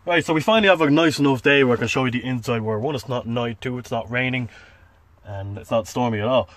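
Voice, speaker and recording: A man's voice: medium pitch, fast talker, fairly bright tone, no room sound. An Irish man in his thirties chatting casually to a handheld camera, rambling in a playful, informal, sweary way. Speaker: vlogger. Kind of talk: casual, rambling vlog chat. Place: mostly outdoors by a parked van. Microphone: handheld camera or phone. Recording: outdoor handheld recording with faint noise.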